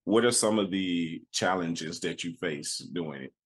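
A man's voice: sits low, unhurried, slightly rough. A man hosting an interview, affirming the guest with short remarks. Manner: affirming, says "awesome" a lot, chuckles. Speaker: interviewer, host. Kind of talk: conversational interview.

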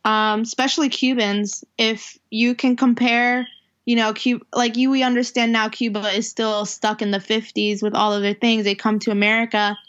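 A woman's voice: a little high, flat delivery, moderate pace, a bit bright, clean tone. Podcast lady just chatting, lots of likes and ums, kinda muffled audio. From half Puerto Rican, half Dominican heritage. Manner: rambling, heavy on fillers and restarts. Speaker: podcast co-host. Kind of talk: casual chat.

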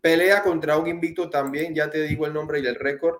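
A man's voice: a little high, fairly even intonation, a little fast, a bit bright. Chatty man in an echoey room. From Panama. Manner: chatty, rambling, frequent restarts. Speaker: boxing podcast host. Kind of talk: casual sports chat.

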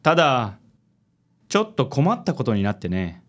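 ラジオドラマで、自信に満ちた紳士的な気取った色男を演じる男性。. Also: male voice, mid-range voice, big pitch swings, moderate pace, a bit bright. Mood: puzzled but composed. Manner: smooth, affected gallantry. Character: suave, self-assured handsome gentleman charmer. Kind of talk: radio drama acting.

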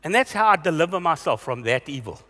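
A man's voice: on the high side, big pitch swings, quick pace, pushed and bright, long reverb tail. A male pastor giving a sermon, earnest and questioning, repeating himself for emphasis.

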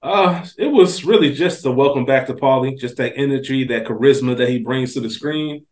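A man with an average-pitched voice co-hosts a podcast. He speaks casually and colloquially, circling back over his phrases as he thinks out loud. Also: fairly quick. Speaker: podcast co-host. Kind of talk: casual discussion.

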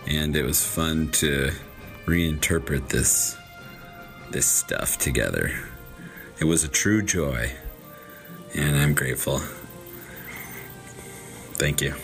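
A man's voice: very deep voice, slow pace, pauses often, over music. A man chatting casually as a guest performer on a podcast. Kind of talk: casual chat.

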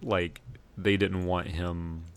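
Very deep-voiced man chatting casually. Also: level tone, slow pace, clean tone. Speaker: podcast co-host. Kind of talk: casual chat about TV shows.

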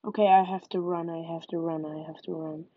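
A woman's voice: low voice, flat delivery, a little fast, no echo. Young woman, stuffy nose from a cold, gameplay commentary, dry muffled home recording. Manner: chatty, narrates each move. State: nasal from a cold. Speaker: gaming podcaster.

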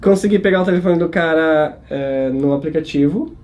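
A man's voice: fairly high pitch, normal speed, darkish tone. A young man, telling a self-mocking travel story in a casual podcast chat. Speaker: travel channel host and guest storyteller.

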